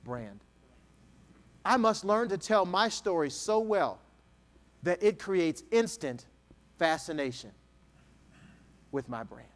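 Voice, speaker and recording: Male keynote speaker from Texas, high and lively delivery, playful with the crowd, dramatic pauses. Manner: animated, dramatic pauses, slow emphatic dictation; playful, jokes with the audience. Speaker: motivational speaker. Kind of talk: keynote speech to an audience.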